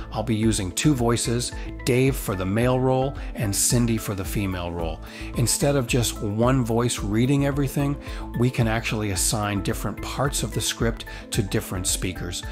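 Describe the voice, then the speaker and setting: A man hosting a tutorial with upbeat, promotional scripted narration. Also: lowish voice. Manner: enthusiastic, promotional. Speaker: tutorial host. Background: background music.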